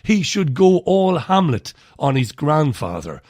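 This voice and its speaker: A man's voice: fairly high pitch, sing-song, a little slow, a little mellow, no room sound, clean studio sound. A man in his early forties hosting a live radio show, chatty and blunt, sounding a little throaty today. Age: 40s. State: slightly throaty. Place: radio studio.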